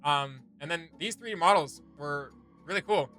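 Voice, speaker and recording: A man's voice: a little high, moderate pace, bright, forceful tone, faint music behind. A man giving casual reaction commentary as a video host, thinking aloud in a rambling way with trailing asides.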